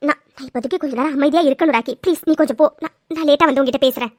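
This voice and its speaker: A woman's voice: sits high, rapid-fire, a little mellow, dry recording, clean studio sound, natural voice. A woman acting a distraught, defiant young office worker in an emotional drama, pleading and repeating her words. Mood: exasperated.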